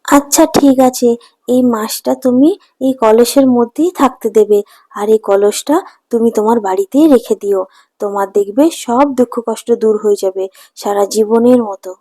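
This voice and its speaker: Woman voicing a kindly high-voiced water fairy. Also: fairly even intonation, moderate pace, dark tone, no room sound, lightly acted. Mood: solemn, kindly. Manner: soothing, solemn, reassuring. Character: kindly water fairy granting blessings to a poor fisherman. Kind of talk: cartoon voice acting.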